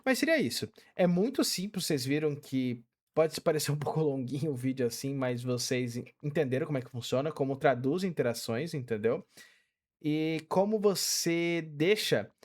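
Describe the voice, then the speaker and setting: A man's voice: a little high, lively intonation, normal speed. A young man with a Brazilian Portuguese accent, casually walking through a game tutorial step by step with many fillers. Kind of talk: casual screen-recorded tutorial.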